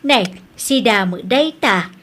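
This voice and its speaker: A practical middle-aged mother with an Ilocano accent, as a woman acts her out. She speaks in a matter-of-fact way with a voice a little above average in pitch, in the style of an audio drama. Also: animated, slow, fairly bright tone, slight background noise. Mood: plain.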